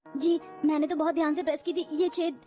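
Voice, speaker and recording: A woman's voice: sits high, steady tone, fairly quick, warm tone, faint music behind, close to an everyday voice. A woman doing a meek, pleading young wife. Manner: pleading, apologetic.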